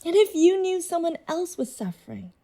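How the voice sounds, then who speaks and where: A woman playing an intense, seductive nonhuman being in human guise in an audio drama, speaking in a deliberate, persuasive way. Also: very high-pitched, very animated, creaky, warm tone, full character voice. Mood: yearning, aggrieved. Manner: deliberate, measured, persuasive monologue.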